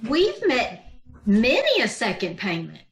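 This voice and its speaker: A woman playing a wry, scheming schoolteacher with dry deadpan quips in an old-time radio comedy. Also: on the high side, measured pace, full character voice. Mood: mock innocent. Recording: roomy, echoing video-call recording.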